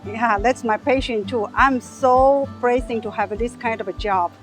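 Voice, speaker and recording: A woman with a Taiwanese accent, whose first language is Taiwanese, answering interview questions as a Medicare agent in earnest, halting English. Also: a little high, over music.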